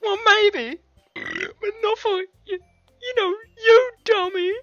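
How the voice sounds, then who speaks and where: A man voicing cute, excitable anime schoolgirls with an exaggerated girlish squeal. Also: very high-pitched, takes it slowly, no echo, highs cut off, soft background music, voice pushed up, heavily acted. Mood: flustered, huffy.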